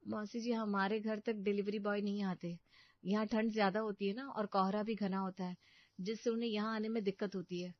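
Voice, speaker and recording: A woman's voice: mid-range voice, flat delivery, fairly quick, no room sound, lightly acted. A woman voicing a dutiful young daughter-in-law in a Hindi cartoon, speaking respectfully as she explains things. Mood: polite, obliging. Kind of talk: Hindi cartoon family story dubbing. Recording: dull, muffled studio voice track.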